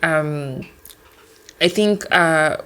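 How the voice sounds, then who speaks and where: A young woman in her twenties with a Kenyan accent and a notably low voice. She chats as a podcast guest, relaxed and reflective, circling back and restarting her sentences as she thinks. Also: extremely slow, clear, resonant tone. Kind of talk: casual conversation.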